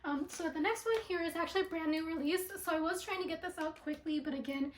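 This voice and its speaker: Chatty young woman reviewer, high and slightly raspy from allergies, recorded in a roomy, echoey apartment. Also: fast, a little hiss or hum. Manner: chatty with many ums and likes. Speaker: beauty product reviewer. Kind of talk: casual product review vlog. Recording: echoey room.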